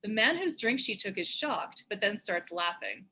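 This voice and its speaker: A woman narrates scripted action for a table read. Her medium-pitched voice is bright and forceful, with a husky edge. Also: very animated, normal speed. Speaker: narrator and show co-creator. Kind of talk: scripted stage-direction narration.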